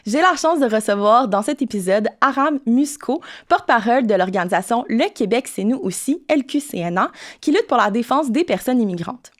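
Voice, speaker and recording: A woman's voice: on the high side, expressive, normal speed, hardly any pauses. A woman hosting a podcast, introducing the episode and interviewing a guest in a casual, friendly way. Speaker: podcast host.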